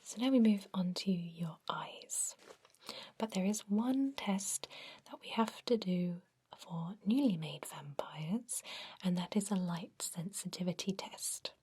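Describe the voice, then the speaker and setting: A woman voicing a gentle, reassuring centuries-old vampire doctor in a soothing, breathy whisper, in an ASMR roleplay. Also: fairly low pitch, full character voice. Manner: soothing, unhurried, attentive bedside explanations. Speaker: doctor examining a patient.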